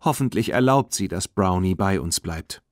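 A man voicing an earnest, caring young girl, quick to indignation, in a German children's audiobook with a standard German accent. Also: sits low, lively intonation, no echo, clean studio sound. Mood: thoughtful, careful. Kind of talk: children's audiobook character reading.

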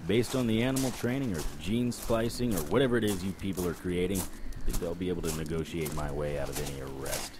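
Man acting a low-voiced, wisecracking investigator. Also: fast talker, noisy background, natural voice. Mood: dry sarcasm. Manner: dry, sarcastic. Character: wisecracking, unflappable male paranormal investigator. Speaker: actor in audio drama. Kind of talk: audio drama dialogue and first-person noir narration.

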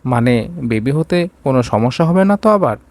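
A man's voice: mid-range voice, lively intonation, moderate pace, a little mellow, no room sound, lightly acted. A man voicing a curt, commanding young rich businessman in an audio romance story. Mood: businesslike.

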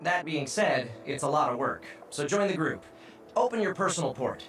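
A man's voice: a little high, expressive, normal speed. A man playing a practical young military operator in an anime game, with an echoing telepathic effect. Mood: informative. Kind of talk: English anime-game voice acting. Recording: echoey, distant, faint music behind.

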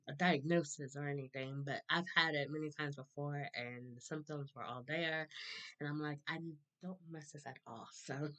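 A woman in her thirties with a hoarse, sore throat, chatting casually to a webcam, laughing often and clearing her throat. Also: low voice, fairly bright tone. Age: 30s. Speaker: vlogger. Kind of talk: casual chat to camera. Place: small room indoors.

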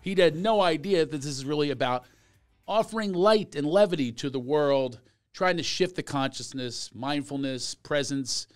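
A middle-aged man hosting a podcast in a small studio, animated and quick to laugh, talking close to a boom mic. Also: no room sound, faint music behind. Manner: animated, laughs often, does voices. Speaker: podcast host. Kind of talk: casual chat. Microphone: studio mic on boom arm, headphones.

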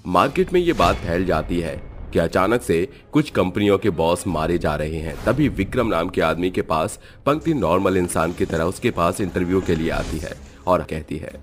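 A man's voice: sits low, expressive, normal speed, hardly any pauses, slight background noise. A man working as a storyteller, reading scripted dramatic narration for a horror tale.

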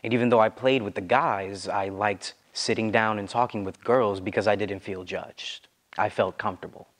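Calm young man reflecting aloud. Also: fairly low pitch, normal speed. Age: young adult. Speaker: student storyteller. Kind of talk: performed personal monologue. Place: dark indoor stage.

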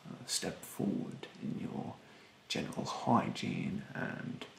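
A young man doing a soft-spoken ASMR roleplay in a breathy, hushed voice, politely snide and condescending with drawn-out, hesitant phrasing. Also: echoey room, slight background noise. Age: young adult. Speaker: ASMR performer playing a rude facial therapist. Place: bedroom, indoors.

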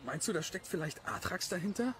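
A man's voice: high voice, very animated, a little fast, noisy background. A man playing a puzzled, worried young villager with an earnest, questioning manner in an audio drama.

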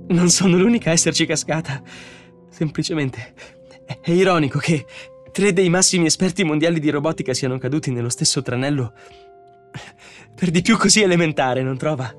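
A man's voice: a little high, brisk, faint music behind, voice pushed up, natural voice. A man voicing a tense, sarcastic woman robot psychologist with an agitated, emphatic delivery in an audiobook story. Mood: bitter irony.

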